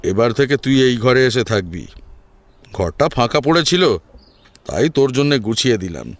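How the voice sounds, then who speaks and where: A man's voice: medium pitch, very animated, normal speed, no echo, close to an everyday voice. Man acting a scheming, falsely sweet big brother. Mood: coaxing. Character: scheming eldest brother feigning kindness to younger sister. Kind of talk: Bengali audio story acting.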